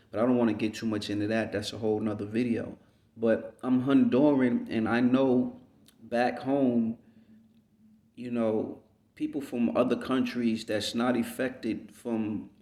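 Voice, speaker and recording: A man from the United States giving an unhurried, rambling reflective monologue as a vlogger, often checking in with "you know what I mean.". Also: on the low side, a little mellow. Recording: roomy echo.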